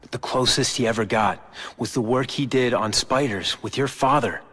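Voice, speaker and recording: A man's voice: mid-range voice, normal speed, a bit bright, slightly rough. A man with an American accent voicing an embittered, brooding young corporate heir in a video game cutscene. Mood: somber. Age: young adult. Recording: long hall-like reverb. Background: background music.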